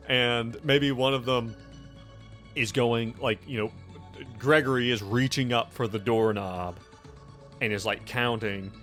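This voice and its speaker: A man running a tabletop game as game master, improvising the scene in an informal, halting, teasing way. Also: mid-range voice, steady tone, fairly bright tone, soft background music.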